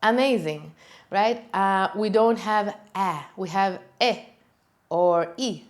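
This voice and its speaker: A Hebrew teacher with an Israeli accent, a native Hebrew speaker, giving an upbeat, emphatic video lesson. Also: female voice, slow pace. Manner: upbeat, emphatic, talks with lively pitch jumps on key words.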